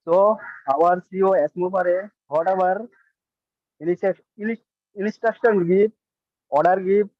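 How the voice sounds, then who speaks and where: A Bangladeshi man with a Bangladeshi accent speaking fervently and haltingly as a brand ambassador on a webinar. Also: sits high, dry recording. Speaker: brand ambassador giving testimonial. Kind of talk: halting, emphatic speech to a webinar. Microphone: online video call. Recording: dull lo-fi call audio.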